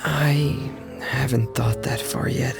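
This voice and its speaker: Shy earnest game boy, halting delivery, music clearly behind. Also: medium pitch, steady tone. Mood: earnest, resolute. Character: quiet, earnest, reserved boy, protective of family. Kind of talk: video game voice acting.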